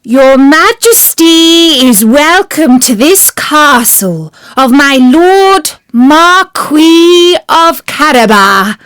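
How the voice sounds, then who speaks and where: A woman's voice: high-pitched, expressive, drawn-out words, few pauses, a bit bright, no room sound, studio quality, pitched above the performer's own voice, full character voice. A woman voicing a clever, polite male cat in a storybook, speaking courteously and persuasively.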